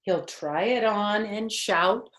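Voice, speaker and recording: A woman's voice: medium pitch, fairly bright tone, reverberant. An older woman reading a picture book to children in a grandmotherly, chatty way, with casual asides to her listeners. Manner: grandmotherly, chatty, asking listeners questions and pausing on phrases. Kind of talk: animated read-aloud with casual asides.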